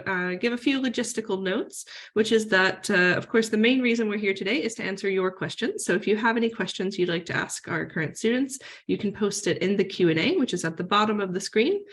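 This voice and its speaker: A woman hosts an online panel in a mid-height voice. She talks in a friendly, organized way, pausing on small fillers as she explains the plan. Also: mid-range voice. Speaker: moderator. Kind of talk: webinar moderating.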